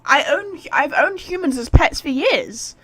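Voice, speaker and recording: A woman as a smug, high, boastful talking sword. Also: animated, unhurried, a bit bright. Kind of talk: joking parody during game stream.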